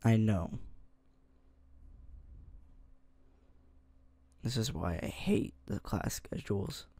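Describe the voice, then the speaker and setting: A man playing an irritable, secretly smitten high school boy in an ASMR roleplay, grumbling and halting as he talks to the listener. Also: dark tone, no echo. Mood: annoyed, grumbling. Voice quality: low, gravelly. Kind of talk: ASMR roleplay, one-sided dialogue to listener. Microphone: close mic, dry.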